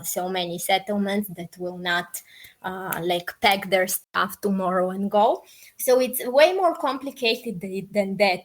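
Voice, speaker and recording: A woman with a Bulgarian accent speaks as an interview guest at a middling pitch. Her delivery is conversational and informal, and she often qualifies and rephrases her points while thinking aloud. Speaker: podcast guest, peace activist.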